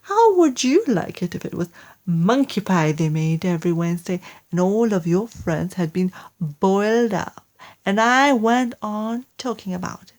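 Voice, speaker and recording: A woman's voice: mid-range voice, animated, measured pace, a little mellow, lightly acted. A woman voicing an indignant, scolding bird in a children's storybook. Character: roly-poly bird, indignant, scolding, exclamatory.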